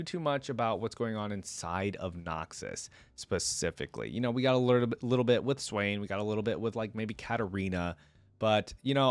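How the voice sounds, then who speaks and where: A man's voice: fairly low pitch, no echo. Man, casual commentary, dry sound. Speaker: video host reacting to a game character.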